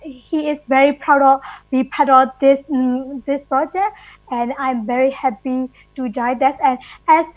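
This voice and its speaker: A woman with a high voice and a Burmese accent speaks at a book launch. She searches for her words as she gives thanks. The audio is dry and a little dull. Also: steady tone, unhurried, dry recording. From Myanmar. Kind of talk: informal thank-you speech.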